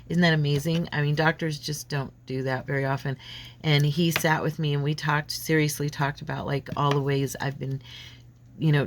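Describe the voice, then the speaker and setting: Woman, lower voice, froggy from a cold, rambling and friendly, faint room noise behind her. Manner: rambling, friendly, many fillers and restarts. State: slight head cold, a bit froggy. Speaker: crafting channel host. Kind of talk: casual chatty craft vlog. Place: home craft desk.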